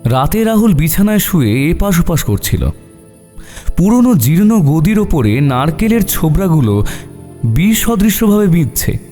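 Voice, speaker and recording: A man's voice: fairly high pitch, very animated, a little slow, warm tone. A man giving a dramatic, scripted narration of a horror story. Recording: long reverb over faint music. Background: faint music.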